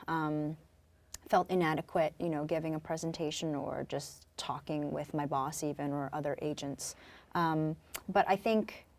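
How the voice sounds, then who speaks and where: A young woman from Korea, raised on Long Island, speaking as a guest in a relaxed interview. Her voice sits somewhat low for a woman, and she talks in a soft, reflective way, pausing often to find her words. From born in Korea, raised in Long Island. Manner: soft-spoken, reflective, many fillers. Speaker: guest, singer-songwriter. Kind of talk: casual interview talk.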